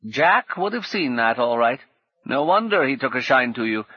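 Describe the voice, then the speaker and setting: A man's voice: medium pitch, sing-song, moderate pace, husky, no echo, lo-fi sound, pitched above the performer's own voice. A man voicing a rueful old woman fallen on hard times, speaking in a bitter, wry, emphatic way.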